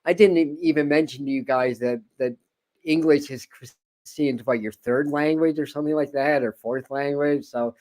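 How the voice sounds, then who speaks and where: A man's voice: a little high, steady tone, normal speed, a little mellow. A man hosting an interview channel, talking casually in a rambling way with many stammered repeats and chuckles. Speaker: host.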